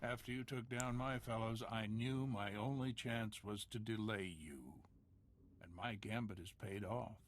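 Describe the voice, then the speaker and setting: A man's voice: lowish voice, steady tone, measured pace, slight background noise, close to an everyday voice. Man acting as a grandiose, defiant military general. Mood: triumphant. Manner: grandiose, declamatory. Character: proud military general, defiant scheming villain. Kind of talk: video game voice acting.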